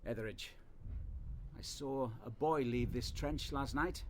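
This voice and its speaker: Man as trench officer, formal, commanding, clipped, mid-pitched, audio drama, noisy background. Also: measured pace, close to an everyday voice. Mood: formal, proud. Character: formal, commanding British army lieutenant, praising his men. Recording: clear background noise.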